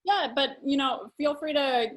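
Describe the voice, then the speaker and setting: A young woman hosts a virtual wine tasting, friendly and chatty. Her voice sits a touch high, and she talks with easy fillers. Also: measured pace. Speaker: host.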